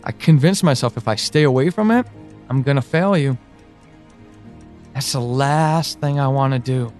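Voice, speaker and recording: An actor playing an anguished, pleading, devoted man in an audio drama monologue, speaking haltingly and emotionally. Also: mid-range voice, a little mellow, soft background music, close to an everyday voice. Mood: apologetic, tender. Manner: halting, hesitant, emotional, with sighs and pauses.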